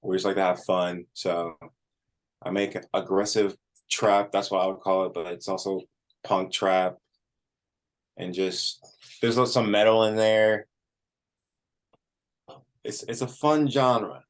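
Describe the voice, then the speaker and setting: Very deep-voiced man chatting casually. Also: level tone, takes it slowly, pauses often. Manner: informal, frequent "like" as filler. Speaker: musician, interview guest. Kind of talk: casual interview chat.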